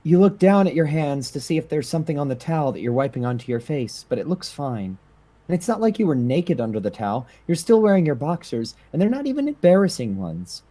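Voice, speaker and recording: A relaxed, mellow voice narrates fan fiction over an online call. The intonation moves around in a lively way. The delivery is casual, dropping easily into chat. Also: mid-range voice, brisk. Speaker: narrator, stream reader.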